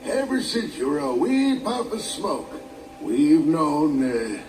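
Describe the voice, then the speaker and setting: A man's voice: fairly high pitch, big pitch swings, takes it slowly, a little mellow. A man voicing a flustered, forgetful dragon elder. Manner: flustered, trailing off. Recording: echoey distant game audio with music.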